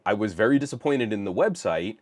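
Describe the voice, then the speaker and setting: A man's voice: fairly low pitch, brisk. A man hosting a tech news podcast live, chatting casually in a rambling way and reading out the stream chat. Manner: animated, rambling, restarts, reads chat aloud.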